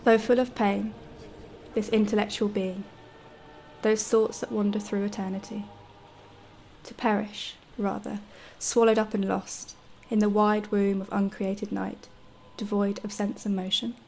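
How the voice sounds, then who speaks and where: A woman's voice: mid-range voice, flat delivery, measured pace, creaky, a little mellow, slight background noise. An actress playing an anguished, brooding young woman writer in a radio drama, speaking in solemn, formal literary monologue. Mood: desolate, brooding. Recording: faint music under the voice.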